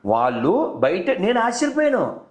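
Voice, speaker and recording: A man's voice: high voice, huge pitch swings, moderate pace. A man debating conversationally on a call, persistently probing with leading questions as the host. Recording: roomy, echoey phone call recording.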